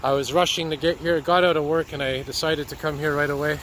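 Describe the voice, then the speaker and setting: A man, slightly hoarse from a cold, narrating as he walks by a flooded river with a handheld camera. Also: a little high, fairly even intonation, quick pace, fairly bright tone, poor audio. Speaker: citizen video reporter. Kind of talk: walking commentary. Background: street and water noise.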